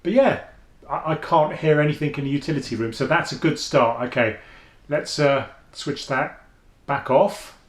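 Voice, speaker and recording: Deep-voiced man, echoey room. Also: moderate pace. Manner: chatty, thinking aloud, with frequent restarts and fillers. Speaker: headphone reviewer. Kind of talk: casual product review vlog. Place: at home, indoors.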